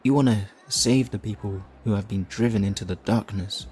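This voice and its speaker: A man playing a flirtatious, theatrical supervillain leader in an audio roleplay, smug and righteous, with teasing, drawn-out phrasing. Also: fairly low pitch, a little mellow, a little hiss or hum, close to an everyday voice. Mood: earnest, coaxing. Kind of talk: audio roleplay addressed to the listener.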